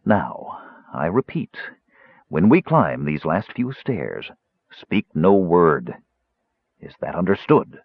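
A man voicing a stern, solemn mage in an audiobook, giving soft, measured and commanding instructions. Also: low-pitched, very animated, husky, phone-quality sound, heavily acted. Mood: calm, commanding. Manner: soft, measured, hushed orders.

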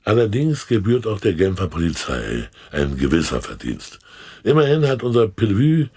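An older statesman in a radio drama. His voice is very low and husky, and it moves expressively through formal, rhetorical sentences. He sounds avuncular and pleased with himself. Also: very animated, normal speed, a little mellow. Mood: self-satisfied. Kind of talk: German radio play acting.

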